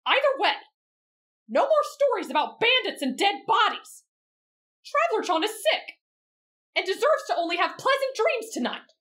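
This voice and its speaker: A woman's voice: very high voice, sing-song, moderate pace, pauses often, a bit bright, exaggerated voice. A woman voicing a fussy, protective teenage boy with a whiny, scolding manner in an anime roleplay. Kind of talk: anime fan roleplay audio.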